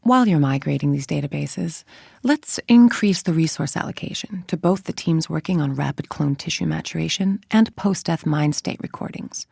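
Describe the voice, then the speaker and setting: Husky, commanding female game villain. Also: low-pitched, a little mellow, no room sound, clean studio sound, exaggerated voice. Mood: cool, authoritative. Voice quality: husky, breathy, creaky. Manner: measured, imperious, formal, menacing. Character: cold, calculating adult female villain scientist-executive, arrogant and commanding. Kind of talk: scripted dramatic video game monologue.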